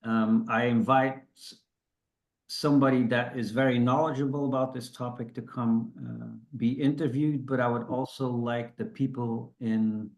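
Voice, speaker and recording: A man's voice: medium pitch, level tone, normal speed. A man from Belgium hosting an online industry discussion, chatty and self-deprecating, often restarting his sentences. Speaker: host.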